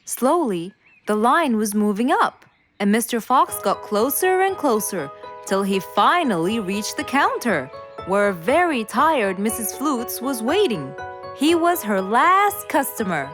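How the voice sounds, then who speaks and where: A woman's voice: on the high side, very animated, a little slow. A woman narrating a scripted children's story as its storyteller. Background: background music.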